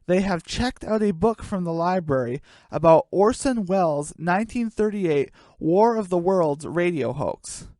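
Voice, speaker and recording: A woman's voice: lowish voice, expressive, a little slow, hardly any pauses, dark tone, dry recording, clean studio sound. A woman narrating a scripted comic radio play in a storytelling style.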